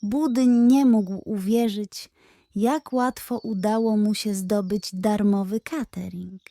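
A woman's voice: mid-range voice, steady tone, slow pace, dark tone, dry recording. A woman reading a scripted children's story as the narrator.

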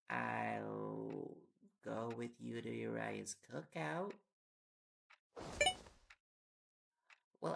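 A young man playing an affectionate, teasing reporter boyfriend in an intimate audio roleplay, with a gravelly voice and playful, coaxing talk. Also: mid-range voice. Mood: pleading. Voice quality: gravelly, creaky. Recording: loud background noise with clicks. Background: ticking and clicking sounds.

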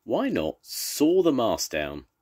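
A man's voice: mid-range voice, huge pitch swings, slow, a little mellow, pitched above the performer's own voice, exaggerated voice. A man reading aloud voices a polite, clever young cabin boy, speaking eagerly. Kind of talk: storybook reading.

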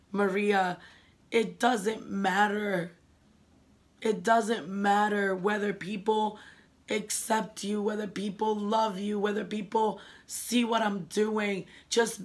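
A young woman speaks in a raspy, hoarse voice, worn down by a cold. She talks earnestly and emotionally, gesturing as she shares her faith into a close webcam in a living room. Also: medium pitch. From New England. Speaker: vlogger. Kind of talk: personal faith vlog.

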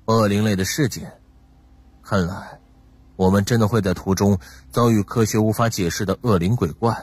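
A man playing a calm, calculating young man with a measured, courteous manner in an audiobook drama. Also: lowish voice, slightly rough, no room sound. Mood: pensive, grave.

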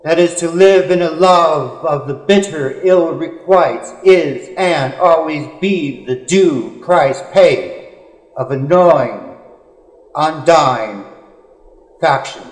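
A man reading poetry in a solemn, dramatic style, with earnest, measured phrasing and drawn-out pauses. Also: fairly high pitch. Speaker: poet. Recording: echoey, long hall-like reverb.

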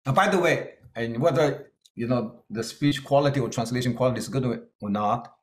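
A man's voice: mid-range voice, brisk, echoey room. Man with a Chinese-Scottish accent. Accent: Chinese-Scottish English. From China. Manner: earnest, plain-spoken, with hesitant fillers. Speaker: keynote speaker. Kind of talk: conference talk with slides.

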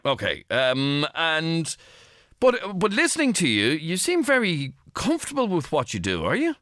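A man's voice: a little high, huge pitch swings, normal speed, a bit bright, no room sound, studio quality. An Irish man in middle age hosting a radio phone-in, asking probing questions with many restarts. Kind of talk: talk radio interview. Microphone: studio microphone.

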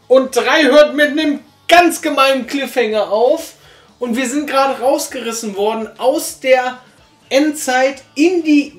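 A young man reviewing an audio drama, speaking casually from a sofa in a small living room, rambling with many fillers and restarts, picked up by a distant camera. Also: sits high. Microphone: far camera. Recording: roomy room sound, faint music.

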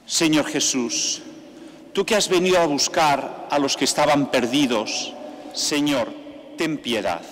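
An older man, a priest, leading mass with a slow, measured liturgical delivery into a stand microphone in a large church. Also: a little high, fairly bright tone. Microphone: stand mic at the altar. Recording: long church reverb, faint background noise.

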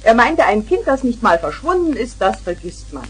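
Lively, motherly mum. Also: on the high side, normal speed. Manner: motherly, explaining. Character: caring mother talking to her young daughters. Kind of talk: 1970s German children's radio play acting. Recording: 1970s vinyl record, hissy.

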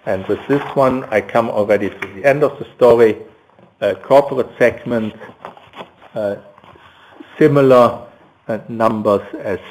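A man with a fairly low voice gives a corporate results presentation to analysts. He speaks very slowly and haltingly, often hesitating and repeating words as he moves through the material. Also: darkish tone, poor audio. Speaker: company finance executive presenting quarterly results. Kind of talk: prepared results presentation to analysts, delivered loosely. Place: analysts' conference call, slides shown.

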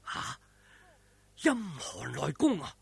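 男講古佬演繹低聲自語嘅年輕劍客. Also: male voice, wildly swooping pitch, slow pace, creaky, breathy edge, dry recording, heavily acted. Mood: wary. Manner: low, muttered inner thoughts. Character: devoted young swordsman thinking to himself.

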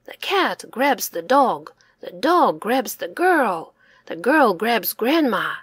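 A woman reading a children's folk tale aloud in slow, expressive, sing-song storytelling. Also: on the high side, husky, no echo, clean studio sound.

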